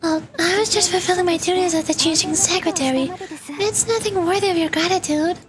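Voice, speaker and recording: A woman reading the part of a calm, dutiful young secretary in a polite, composed manner. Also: very high-pitched, brisk, crowd noise. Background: game music.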